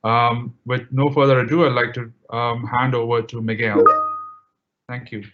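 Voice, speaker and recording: A man hosts an online lecture and gives a formal introduction, polite and a little hesitant with fillers. His voice sits at an ordinary middle pitch. The video call audio is dull and muffled. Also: flat delivery, normal speed, a little hiss or hum. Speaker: event host.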